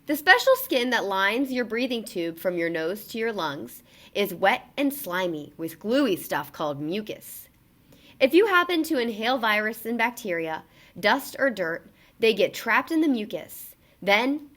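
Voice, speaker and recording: A woman reading a children's picture book aloud in an expressive, emphatic storytelling delivery. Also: on the high side, moderate pace.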